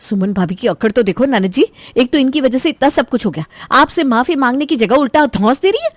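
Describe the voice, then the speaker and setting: Casting: female narrator as dramatic, meddlesome young married woman, cartoon drama, high pitch, dry recording. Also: expressive, fairly quick, no echo. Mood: stirring trouble. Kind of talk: Hindi cartoon voice acting.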